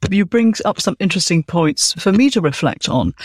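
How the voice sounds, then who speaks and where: A woman's voice: lowish voice, lively intonation, normal speed, husky, no room sound. A woman who hosts a podcast and writes, speaking in a reflective, articulate way. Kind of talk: podcast interview.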